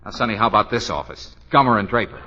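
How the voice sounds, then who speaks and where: Exasperated radio businessman, swooping and bright. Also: on the low side, lively intonation, quick pace, faint music behind. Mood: weary, grumbling. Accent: American. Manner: stammering, exclaiming, sarcastic. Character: exasperated, flustered businessman boss with sarcastic bite. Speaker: actor in a radio comedy drama. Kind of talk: old-time American radio acting. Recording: dull old radio recording.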